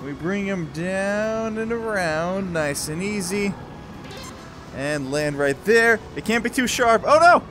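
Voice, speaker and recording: A young man gives casual, excited commentary as he plays a video game, playful and full of exclamations. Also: sits high, takes it slowly, background noise. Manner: playful, exclamatory, talks along while moving in a VR game. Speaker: gaming video host.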